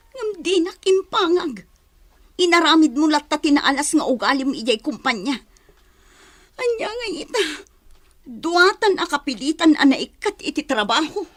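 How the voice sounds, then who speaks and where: An actress playing a long-suffering, reproachful wife with an Ilocano accent in a radio drama. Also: female voice, high voice.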